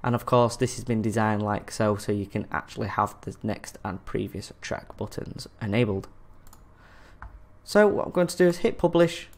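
A man's voice: lowish voice, moderate pace. A man presenting a screencast tutorial in a casual, friendly instructional way.

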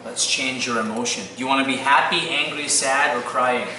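A man's voice: mid-range voice, fairly even intonation, moderate pace, bright, forceful tone. A man in his thirties, an English teacher playfully coaching children as they record lines. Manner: playful, prompting and directing. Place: small classroom, indoors. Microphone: camera mic from across the room. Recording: echoey classroom from far off, noisy.

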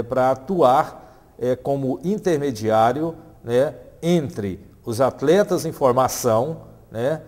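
Formal man with halting fillers. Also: mid-range voice, warm tone, long reverb tail. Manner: formal, many hesitation fillers. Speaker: club legal representative. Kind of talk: interview statement. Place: office in front of a red wall. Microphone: lapel mic.

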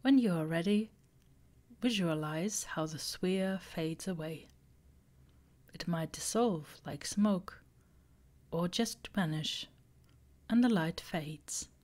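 A woman reading a guided meditation, giving slow, deliberate instructions in short phrases. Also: lowish voice, darkish tone. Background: relaxing background music.

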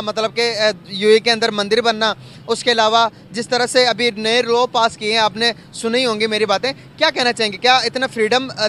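A man's voice: very high voice, pushed and bright. A young man, interviewing people outdoors on the street. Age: young adult. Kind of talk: vox pop interview. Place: open street at night. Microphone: handheld. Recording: noisy outdoor street with traffic.

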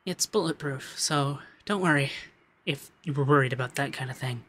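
A man playing a shy, sweet young femboy heir in an ASMR roleplay, hesitant and gently flustered, stammering as he talks. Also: mid-range voice, moderate pace. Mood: nervous, polite. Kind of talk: ASMR roleplay audio drama.

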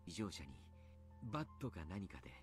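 A grave, courteous clinic doctor in a visual novel. His low voice moves expressively, with soft, careful, troubled phrasing over music. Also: big pitch swings. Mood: somber, troubled. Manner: polite, measured, choosing words carefully. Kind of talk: Japanese visual novel voice acting.

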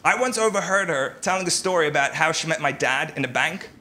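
High-voiced stand-up comedian on stage. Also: male voice, expressive, fairly quick, sharp tone. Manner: conversational, filler-heavy. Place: theatre stage. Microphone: handheld mic. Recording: live comedy clip with crowd noise.

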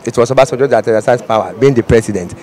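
A man's voice: mid-range voice, racing, darkish tone. A middle-aged Nigerian man with a Nigerian accent, answering a street interview at a roadside, rambling and repeating himself. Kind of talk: vox pop street interview. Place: busy city roadside outdoors. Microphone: handheld reporter mic. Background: traffic and street ambience.

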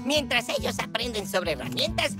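Actor as a lively, kindly cartoon teacher. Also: mid-range voice, moderate pace, over music, lightly acted. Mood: instructive. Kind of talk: animated cartoon dubbing, explanatory narration.

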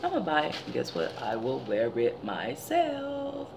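A woman in her forties speaks in a deep, gravelly voice that sounds a little tired. She chats casually and tells stories as a vlogger in her living room. A steady hiss sits under the recording. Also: normal speed. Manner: chatty, animated storytelling. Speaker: beauty vlogger. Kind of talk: casual product haul chat. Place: living room by window blinds, indoors.

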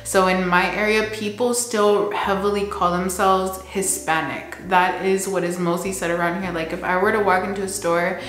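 Flat-toned candid woman counselor. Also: mid-range voice, moderate pace, few pauses, roomy sound, slight background noise, poor audio. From Texas border region, US. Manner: candid, conversational, slips in Spanish words. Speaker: licensed counselor. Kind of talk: casual talk to camera. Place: indoor home room with plants and shelves.

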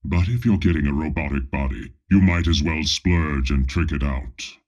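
An actor playing a pompous, menacing armored space lord with a robotic body, speaking imperiously with deadpan comic asides in a video game. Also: male voice, moderate pace. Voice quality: deep, husky, menacing. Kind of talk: video game character voice lines.

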